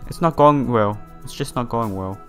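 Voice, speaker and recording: A man reads visual novel text aloud in a casual let's play style, slipping in his own remarks. His voice is a little broken from a sore throat and sits at an average pitch. Faint game music plays behind him. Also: normal speed, fairly bright tone. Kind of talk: casual playthrough, reading game text with side comments.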